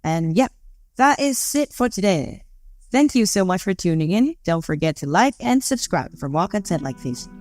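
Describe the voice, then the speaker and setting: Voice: mid-range voice, sing-song, moderate pace, darkish tone, dry recording, clean studio sound. A student in their late teens with a Thai accent, closing an educational podcast in a casual, friendly way. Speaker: host wrapping up the show. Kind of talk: scripted podcast outro.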